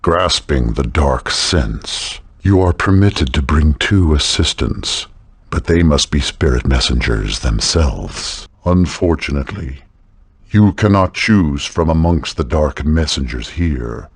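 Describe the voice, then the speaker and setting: A man playing a grave old dark messenger in a fantasy game, speaking solemnly and formally with a gravelly, husky rasp. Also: extremely low pitch, expressive, slow, dry recording, clean studio sound, heavily acted. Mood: solemn, commanding.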